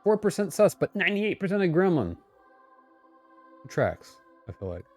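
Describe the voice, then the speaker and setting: A man's voice: high voice, wildly swooping pitch, moderate pace. A man streaming a game, chatting casually with playful, goofy interjections over game music. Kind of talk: casual livestream chat.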